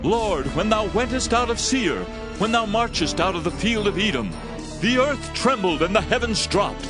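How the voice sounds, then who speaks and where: An actor playing an earnest military leader of ancient Israel, declaiming archaic scripture in a dramatized reading. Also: male voice, sits high, expressive, normal speed, over music. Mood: exalted.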